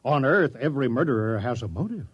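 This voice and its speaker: An actor playing a fussy, exasperated, proud small-town mayor in old-time radio comedy, insistent and given to repeating himself. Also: male voice, mid-range voice, sing-song, fast talker, breathy edge, highs cut off.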